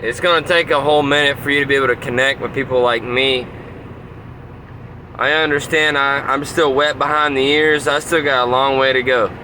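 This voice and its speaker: Male trucker, mid-pitched, earnest and respectful on CB, with clear vehicle-like noise. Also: fairly even intonation, fairly quick, pushed and bright, poor audio. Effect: CB radio transmission. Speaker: novice truck driver. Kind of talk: casual CB radio chat.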